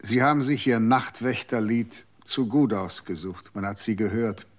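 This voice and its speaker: A man's voice: lowish voice, normal speed, close to an everyday voice. A man playing a cold, curt guardian. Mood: cold, weary. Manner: calm, curt. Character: cold, aloof former guardian of the dead. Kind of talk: radio drama acting.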